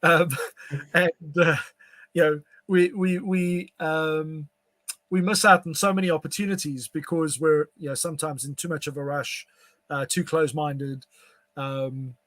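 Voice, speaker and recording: A man's voice: a little high, steady tone, a little slow, a bit bright, no echo. A man from South Africa, whose first language is Afrikaans, talking casually with many fillers and restarts. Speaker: podcast guest. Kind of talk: casual conversation.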